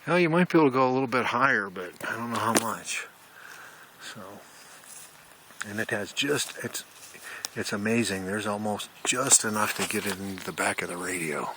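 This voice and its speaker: Low-voiced man in noisy outdoor audio. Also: moderate pace. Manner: folksy, rambling explanation. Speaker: amateur radio hobbyist. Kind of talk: casual handheld video tour. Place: outdoors in wooded mountain country. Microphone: handheld camera microphone.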